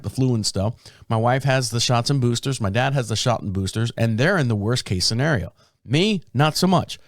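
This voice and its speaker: A man in a podcast studio, his voice a little raspy from a sore throat and sitting at a middle pitch. He rambles loosely and often breaks off his sentences. The sound is dry and close, with no room echo. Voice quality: deep. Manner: rambling, self-interrupting storyteller. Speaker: podcast host. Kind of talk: casual solo podcast talk. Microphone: studio microphone.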